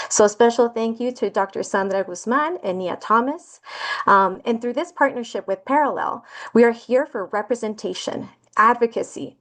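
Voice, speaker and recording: Brief: woman webinar host, mid pitch, calm scripted welcome on a video call. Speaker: webinar host introducing speakers.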